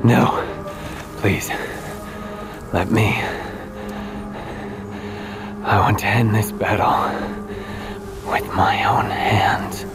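An actor playing a solemn, mysterious poetic man in a video game, speaking in a hushed, breathy, grave voice. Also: on the low side, fairly even intonation, extremely slow, pauses often. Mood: pleading, weak. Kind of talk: dramatic video game acting. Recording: loud music over the voice.

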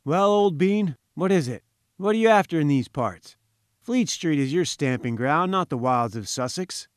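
A man's voice: fairly high pitch, expressive, moderate pace, dry recording, studio quality, lightly acted. A man voicing a genial, wry journalist in a storybook, friendly but firm, with breezy old-chap slang.

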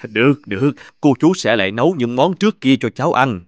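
A man's voice: medium pitch, animated, no room sound, natural voice. A modest elderly restaurant owner, voiced by a man for a cartoon. He speaks humbly and kindly, without hurry, in a dry studio sound. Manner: unhurried, humble.

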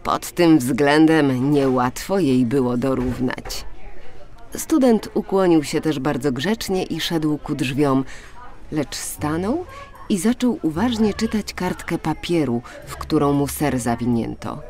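Woman narrator for a children's fairy tale audiobook, deliberate pacing, highly melodic delivery, music underneath. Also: expressive, darkish tone.

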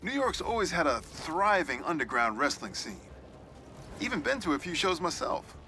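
Middle-aged New York newspaperman, American accent, genial storyteller, crisp bright tone, animated intonation, video game dialogue. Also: a little high, normal speed, soft background music, full character voice. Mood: reflective. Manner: storytelling, reflective. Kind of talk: game voice acting, conversational monologue.

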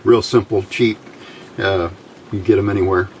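A middle-aged man giving an informal hands-on tutorial in halting short phrases, pausing often as he explains. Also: low-pitched, poor audio. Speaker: electronics hobbyist demonstrating a homemade circuit. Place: indoors at a workbench. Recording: steady clear background noise.

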